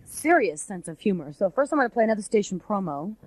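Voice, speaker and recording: A woman's voice: medium pitch, very animated, quick pace. A woman hosting radio in a casual, chatty on-air style. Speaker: radio host. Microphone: close studio radio microphone.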